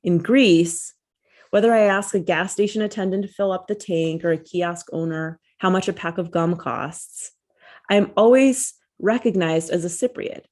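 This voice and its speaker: Low-voiced woman reading her essay. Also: normal speed, darkish tone. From United States. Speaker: author. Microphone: video call.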